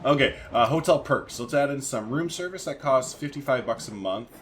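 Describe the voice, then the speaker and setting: A man's voice: mid-range voice, lively intonation, moderate pace, fairly bright tone, slight background noise. A man giving casual let's-play commentary as a gaming video host, thinking aloud in informal, slangy language.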